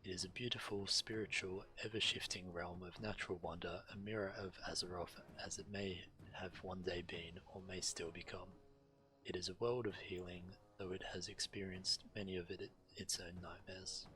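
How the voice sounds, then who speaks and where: A man's voice: low voice, level tone, moderate pace, bright, forceful tone, poor audio. A man streaming a game, reading quest text aloud and sometimes stumbling over the words. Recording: game music behind the voice. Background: video game music.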